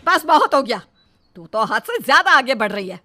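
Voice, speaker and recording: A woman's voice: sits high, sing-song, moderate pace, bright, forceful tone, exaggerated voice. A woman voicing an exasperated older mother-in-law in a scripted story, scolding and complaining. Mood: indignant.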